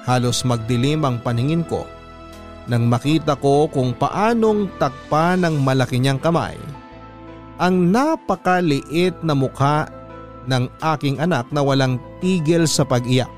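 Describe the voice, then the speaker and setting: A man's voice: on the high side, a little slow. A man reading a listener's letter as scripted first-person narration for a radio drama. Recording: music under the voice.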